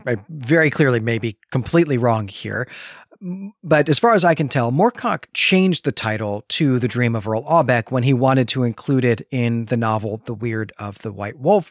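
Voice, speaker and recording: A man's voice: mid-range voice, no room sound, studio quality. Man hosting a book podcast. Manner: leads the conversation with questions. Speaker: podcast host. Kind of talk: casual book discussion.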